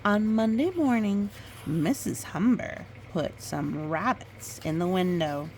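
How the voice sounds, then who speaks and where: A woman reading a picture book aloud in storytime style, with expressive, drawn-out dramatic phrasing and chatty asides. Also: fairly low pitch, a little hiss or hum.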